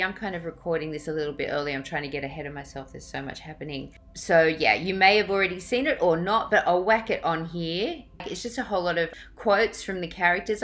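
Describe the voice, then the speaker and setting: Low-voiced, chatty middle-aged woman. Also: a bit bright. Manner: informal, rambling, effusive. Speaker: author addressing readers. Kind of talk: casual chat to camera.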